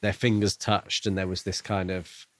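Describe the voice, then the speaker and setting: A man co-hosting a casual book discussion, speaking in a measured, reflective way. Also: sits low, flat delivery, a bit bright. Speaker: podcast co-host.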